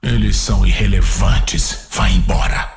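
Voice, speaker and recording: A man with a Brazilian accent playing a cold, imperious demon lord giving terse, gravelly orders in an audio drama. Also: low-pitched, steady tone, unhurried. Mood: cold, commanding. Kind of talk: dramatic audio-series acting. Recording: music behind the voice.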